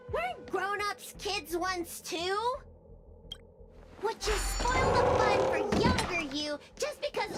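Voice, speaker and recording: A woman's voice: very high-pitched, full character voice. A woman voicing a petulant, possessed little girl in a game cutscene, whiny and childish. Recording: voice over loud music. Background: loud game score music.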